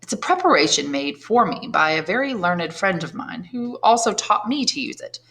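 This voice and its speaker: Woman reading a suave nobleman: calm, knowing, courteous, lower-pitched storybook voice. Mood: smooth, knowing. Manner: measured, courteous, lecturing.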